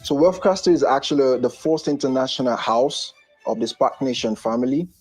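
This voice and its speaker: A man who leads a youth group speaks in a measured, speechlike testimonial. His voice is husky and sits mid-range. Faint music runs underneath. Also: medium pitch, darkish tone. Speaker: group leader. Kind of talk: testimonial interview.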